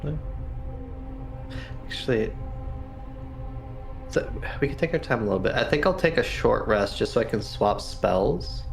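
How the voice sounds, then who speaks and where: A man chatting casually as a player in a tabletop roleplaying game, thinking out loud in a hesitant, musing way. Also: medium pitch, expressive, a little slow, vocal fry, pauses often. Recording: music playing faintly behind. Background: background music.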